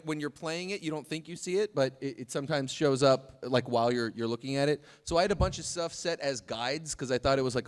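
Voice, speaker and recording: A man hosting a casual group chat about animation, joking and excitable. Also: on the high side, long reverb tail. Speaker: host.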